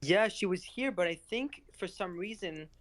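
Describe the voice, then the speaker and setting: Man hosting a live chat, higher voice. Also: lively intonation, normal speed, fairly bright tone. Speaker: host.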